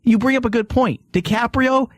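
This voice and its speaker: A man's voice: extremely high pitch, normal speed, no echo, studio quality. A man hosting an opinionated panel talk, arguing his points emphatically. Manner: emphatic, argumentative. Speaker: podcast host.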